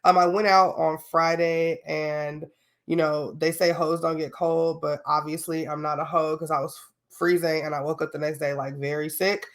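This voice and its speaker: A woman's voice: deep voice, flat delivery, normal speed. A young adult woman hosting a solo podcast, talking casually and candidly with lots of fillers and slang, a little sniffly from a cold. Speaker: podcast host.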